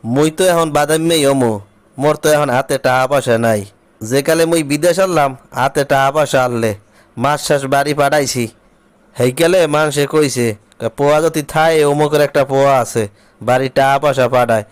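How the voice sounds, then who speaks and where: A man's voice: medium pitch, brisk, lightly acted. A man with a Barisal-region Bangladeshi accent playing an aggrieved, jobless husband home from abroad in a comic cartoon. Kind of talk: comic cartoon dialogue in rural dialect.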